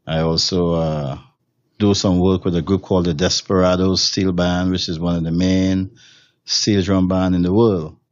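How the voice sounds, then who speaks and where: A man's voice: low-pitched, little pitch movement, moderate pace, no room sound, highs cut off. A man from Trinidad and Tobago with a Trinidadian accent, a steel drum musician answering interview questions in a rambling way, with many fillers and restarts. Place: hotel room.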